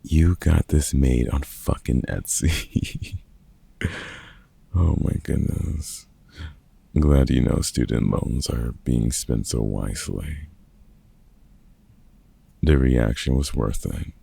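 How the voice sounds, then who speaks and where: An extremely low, heavily creaky voice for a gruff middle-aged vampire hunter acted by a man. He is a protective mentor with a husky, raspy tone and unhurried delivery, teasing and dryly fond in a comfort ASMR roleplay. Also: warm tone, no echo, heavily acted. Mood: amused, exasperated. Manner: casual, teasing, sweary, halting.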